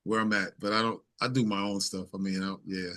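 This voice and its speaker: A man's voice: lowish voice, flat delivery, quick pace, fairly bright tone. A man speaking casually and colloquially as a singer-songwriter being interviewed.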